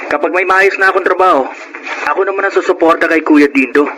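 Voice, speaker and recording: A man's voice: high voice, quick pace, lightly acted. A man acting Norman, earnest Filipino student. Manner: respectful, earnest. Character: Norman, dutiful grateful young male student. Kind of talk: Filipino radio drama acting. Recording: noisy radio drama track.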